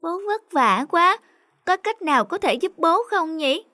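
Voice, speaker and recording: A woman's voice: extremely high pitch, animated, measured pace. A woman voicing a caring, dutiful little schoolboy in a cartoon, childlike and earnest. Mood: pensive. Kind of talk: cartoon dubbing.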